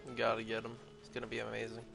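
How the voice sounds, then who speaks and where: A young man giving casual, slangy gameplay commentary, sounding tired and low-key, with game music behind him. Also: completely flat delivery, rapid-fire, vocal fry. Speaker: game streamer.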